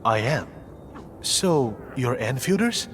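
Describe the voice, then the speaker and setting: An actor playing a weary, dry, self-deprecating field surgeon in a video game. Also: male voice, mid-range voice, sing-song, slow, fairly bright tone. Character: weary, frank male field surgeon in charge. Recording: clear music behind the voice.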